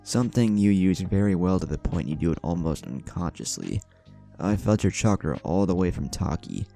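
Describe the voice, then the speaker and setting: Man voicing a stern, scheming older shinobi, deep. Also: expressive, moderate pace, gravelly, warm tone. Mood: imposing. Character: stern, scheming older male shinobi leader, stoic, power-hungry. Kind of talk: fan fiction audiobook dialogue. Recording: music under the voice.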